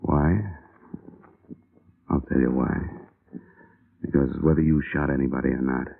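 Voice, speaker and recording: A man's voice: very deep voice, level tone, unhurried, vocal fry, full character voice. An actor playing a wisecracking male insurance investigator in a 1950s radio drama, with a wry, clipped tough-guy delivery. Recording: tinny old radio broadcast.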